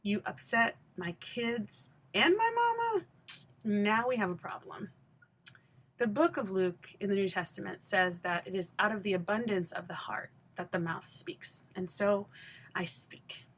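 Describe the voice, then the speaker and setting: A woman from Haiti, likely in her forties, delivering a scripted personal essay to camera in a measured, emphatic, pointed way with deliberate pauses. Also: medium pitch, animated, a bit bright, breathy edge. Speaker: vlogger and education scholar.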